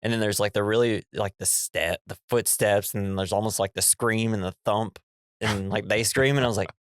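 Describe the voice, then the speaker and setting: A man's voice: fairly low pitch, steady tone, brisk, no room sound, studio quality. A young man co-hosting a casual movie podcast, sounding nasal from a cold, rambling and laughing as he acts out the scares. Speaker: podcast co-host.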